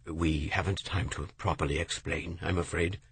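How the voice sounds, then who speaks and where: A man voicing a calm, gentle older poet who speaks softly and in measured phrases in a storybook reading. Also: low voice, husky, no echo, heavily acted. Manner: soft-spoken, measured.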